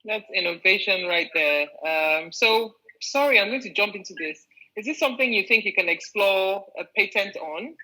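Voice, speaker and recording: A woman's voice: medium pitch, expressive, moderate pace, bright, forceful tone, rough recording. A woman host with a Yoruba-inflected Nigerian English accent, chatting casually over a video call, laughing easily and making playful asides. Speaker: interview host. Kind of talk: casual interview chat.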